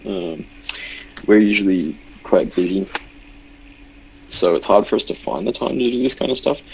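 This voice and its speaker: A man's voice: on the low side, normal speed, a little hiss or hum, low-quality recording. A man hosting a live game stream over a voice call, reading chat questions in a chatty, rambling way. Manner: chatty, rambling, laughs easily. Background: game music.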